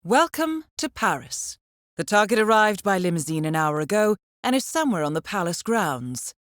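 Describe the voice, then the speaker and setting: An actress playing a coolly professional handler who briefs an assassin in a video game, speaking in a composed, formal with dry understatement. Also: female voice, medium pitch, sing-song, normal speed, dry recording, lightly acted. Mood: calm briefing.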